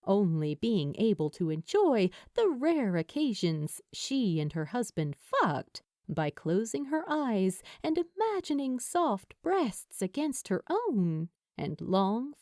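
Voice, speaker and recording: Female story narrator, slow pace, lively intonation, dry close sound. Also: on the high side, no echo. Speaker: audiobook-style fan fiction narrator.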